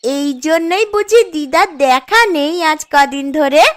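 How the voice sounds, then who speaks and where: A woman's voice: high-pitched, animated, unhurried. A woman voicing an earnest young village girl in a cartoon, a daughter who can sound whiny but cares. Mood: concerned. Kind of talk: cartoon character dialogue. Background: music.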